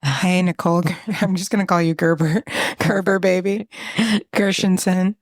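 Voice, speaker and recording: A woman's voice: on the low side, fairly even intonation, normal speed, no room sound, studio quality. A woman in her thirties co-hosting a podcast, chatting casually, joking at her own expense and laughing often. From New England. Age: 30s. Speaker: podcast co-host. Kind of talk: casual chat.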